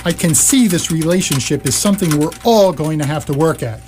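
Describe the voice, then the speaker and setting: A woman's voice: deep voice, expressive, brisk, a little mellow, a little hiss or hum. A woman playing a spaceship computer with a cloying, scolding and stubborn nannying personality in live radio theatre. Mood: stern, wheedling.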